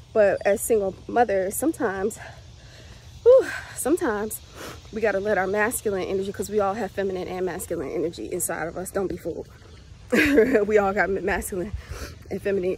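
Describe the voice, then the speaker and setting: A woman's voice: mid-range voice, darkish tone. A woman, speaking in a candid, reflective monologue straight to camera. She laughs often and speaks with spiritual conviction. A faint hiss sits behind her voice. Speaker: vlogger, mother of three.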